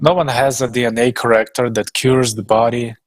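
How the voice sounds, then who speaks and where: A man's voice: medium pitch, fairly even intonation, fairly quick, crowd noise. A man talking informally on a panel in a conversational, enthusiastic manner.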